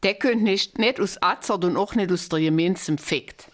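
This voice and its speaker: A woman's voice: medium pitch, moderate pace. A woman from German-speaking Belgium hosting a dialect radio interview, with an East Belgian German dialect and a chatty way of prompting her guest with short questions. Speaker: radio host.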